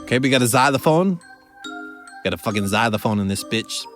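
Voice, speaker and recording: A man with a voice going hoarse, chatting loosely as he reacts to music, with lots of filler words and swearing. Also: mid-range voice, animated, a little fast. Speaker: caricature artist reacting to music. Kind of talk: casual reaction commentary.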